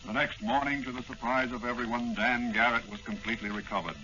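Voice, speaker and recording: A man's voice: medium pitch, fairly even intonation, quick pace, close to an everyday voice. A man delivering scripted dramatic narration in the style of a 1940s radio serial. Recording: muffled old-time radio recording. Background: music.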